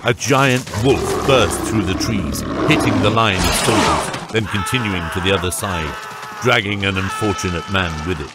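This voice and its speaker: Low-voiced male narrator over loud music. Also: moderate pace. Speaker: audiobook narrator. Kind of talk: scripted fantasy narration.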